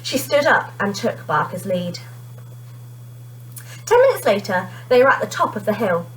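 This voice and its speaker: A woman teacher reading a children's storybook aloud in an expressive narrating style. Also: mid-range voice, normal speed, slight background noise, low-quality recording.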